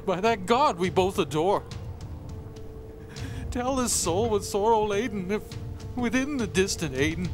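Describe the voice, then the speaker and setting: A tormented man in the grip of grief as a male reader acts him out cries out in a gothic poem. His pitch climbs high and his delivery is frenzied, pleading and theatrical. An eerie mix plays clearly behind him. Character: grief-stricken tormented man, shrieking at a demonic bird. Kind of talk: dramatic gothic poetry recitation. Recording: creepy sound mix behind the voice.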